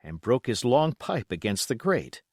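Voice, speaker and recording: A man reading a storybook aloud as scripted, expressive narration. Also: mid-range voice, a little slow, no echo, clean studio sound. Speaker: audiobook reader.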